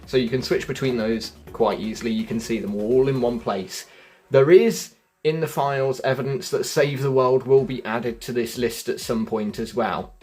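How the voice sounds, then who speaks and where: Husky-voiced man explaining gameplay. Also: mid-range voice, steady tone, moderate pace, a little mellow. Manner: chatty, addresses viewers as folks and guys. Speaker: gaming channel host. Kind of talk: gameplay walkthrough commentary.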